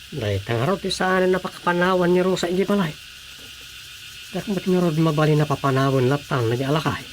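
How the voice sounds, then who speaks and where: A man's voice: fairly high pitch, brisk, slight background noise, lightly acted. A man acting a concerned, helpful village councilman in a conversational radio drama. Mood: explaining, concerned.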